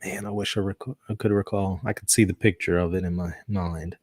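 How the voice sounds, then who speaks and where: A man from the southern US chatting casually as the host of a discussion stream, quick to laugh. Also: low-pitched, flat delivery, a little mellow, husky, no room sound, studio quality. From southern US, Mississippi and Louisiana area. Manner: laughs easily. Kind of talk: casual chat.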